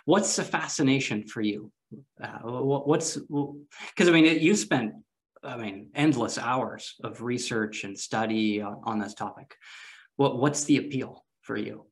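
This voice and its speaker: A man's voice: medium pitch, a little slow. A man hosting a podcast, asking questions in a friendly, casual way, often repeating words as he starts. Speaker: podcast host.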